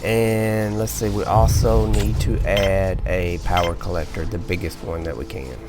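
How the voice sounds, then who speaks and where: A man hosting a casual gameplay video, sleepy and relaxed, explaining each step as he goes with small restarts. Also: deep voice, unhurried, music in the background. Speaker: gaming video host. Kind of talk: casual let's-play commentary.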